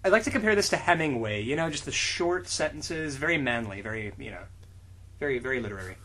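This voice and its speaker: A man's voice: medium pitch, expressive, fast talker, sharp tone. A man co-hosting a comedy podcast, reading fan fiction aloud in a mock-dramatic way with sarcastic asides and frequent laughter.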